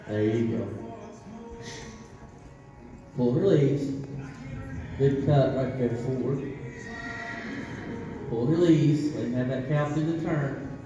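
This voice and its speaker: A Texan riding instructor calls out short, steady commands to his students, calm and encouraging. He is picked up by a camera far off in a big covered arena, his voice ringing with long echo. The audio is dull, with music playing behind him. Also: mid-range voice. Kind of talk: coaching riders live from the arena. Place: large covered indoor arena. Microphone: camera far across the arena.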